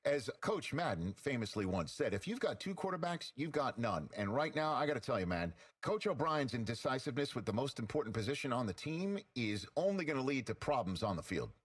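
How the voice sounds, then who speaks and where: A man playing an opinionated, critical sports pundit with a husky voice, giving punchy commentary in a video game. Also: medium pitch, big pitch swings, brisk. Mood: critical, emphatic. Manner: emphatic, opinionated.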